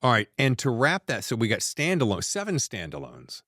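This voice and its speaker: A man's voice: medium pitch, huge pitch swings, normal speed, pushed and bright, no room sound, studio quality. A man in his sixties hosting a casual podcast interview, asking questions with enthusiasm. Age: 60s. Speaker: podcast host.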